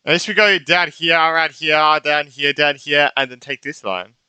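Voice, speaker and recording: A man's voice: fairly high pitch, steady tone, moderate pace, bright, forceful tone, smooth, dry recording. A man giving casual let's play commentary as a gaming streamer, with muttered reactions and sweary, exasperated asides. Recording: game music behind the voice.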